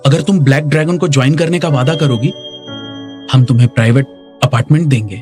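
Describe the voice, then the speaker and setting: A man dubbing a businesslike recruiter in a Hindi drama, speaking in a measured, formal, persuasive way. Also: mid-range voice, a little mellow, faint music behind, natural voice. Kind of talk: Hindi dubbed drama acting.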